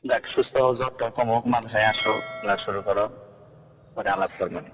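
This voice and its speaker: A man's voice: medium pitch, very animated, fast, vocal fry, background noise. A man with a Bangladeshi Bengali accent talking privately in a recorded phone conversation with long reverb. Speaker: teacher.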